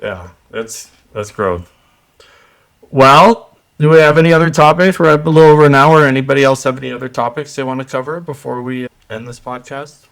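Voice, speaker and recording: A man's voice: medium pitch, a little fast, creaky, a bit bright. A man hosting a casual podcast, joking with playful emcee-style asides. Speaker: podcast host.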